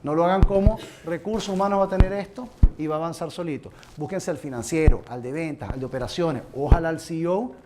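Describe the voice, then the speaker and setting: A man's voice: fairly high pitch, quick pace, hardly any pauses. A man from Venezuela presenting a seminar talk to a live audience, speaking earnestly and addressing them directly with advice. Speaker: conference presenter. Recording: noisy room recording.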